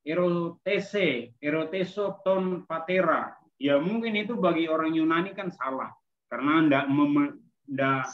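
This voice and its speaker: Male Indonesian language teacher, slightly high voice, explanatory lecture with check-ins, tinny phone-like call audio. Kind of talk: online class lecture with questions.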